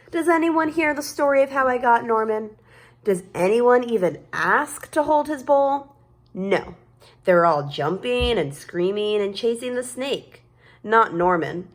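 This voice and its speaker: Slow, expressive woman reading a children's story. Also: mid-range voice. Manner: deliberate, dramatic emphasis with drawn-out words. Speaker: storybook reader. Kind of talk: expressive children's read-aloud narration.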